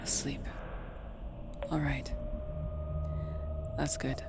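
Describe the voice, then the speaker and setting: A woman playing a calm, caring, quietly wry witch in an ASMR roleplay, speaking softly and in a measured, soothing way. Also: extremely low pitch, exaggerated voice. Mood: calm, hushed. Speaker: voice actress. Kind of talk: ASMR roleplay acting addressed to the listener.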